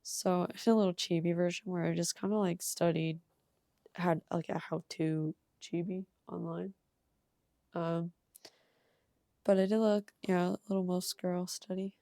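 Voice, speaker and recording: A young woman with a cold and a slightly hoarse voice, rambling casually with ums and soft laughs as she shows her art. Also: on the low side, steady tone, a little slow, no room sound, clean studio sound. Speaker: art channel creator. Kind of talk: casual sketchbook tour voice-over.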